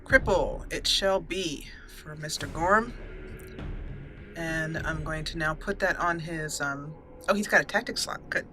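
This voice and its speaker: A woman's voice: low-pitched, a little slow, background noise. A woman giving casual let's play commentary, chatty and giggly, explaining things step by step as she plays. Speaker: gaming channel host.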